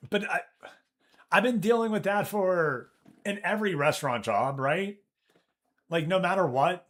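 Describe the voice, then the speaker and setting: A man streaming a live game session, chatting casually and telling a work story with swearing and mimicked voices. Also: measured pace. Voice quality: deep voice. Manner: animated storytelling, swears, reads chat aloud. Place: office.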